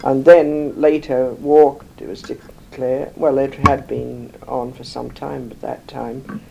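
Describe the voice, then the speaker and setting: An older woman from England, slowly recalling her life in an interview, rambling with many pauses and fillers. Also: very deep voice, flat delivery, creaky, dull audio, noisy background. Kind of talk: oral history recollection.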